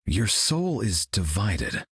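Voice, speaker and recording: A solemn golden cosmic hero for a video game, his speech calm and grave. The voice sits very low with a husky grain and a lively, rolling pitch. It is recorded close and dry. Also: slow pace, no room sound, full character voice. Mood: serene.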